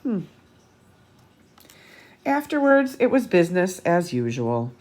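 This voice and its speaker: Woman, children's storytime host, lowish pitch, very lively intonation, expressive picture-book reading, asides to listeners. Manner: expressive, pausing for asides and questions to young listeners. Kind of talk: animated picture-book read-aloud for children.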